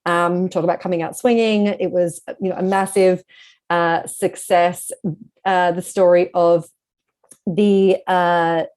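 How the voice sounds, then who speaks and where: A woman's voice: on the low side, level tone, takes it slowly, a little mellow. A woman speaking as a guest author in a casual interview, explaining things in a reflective way.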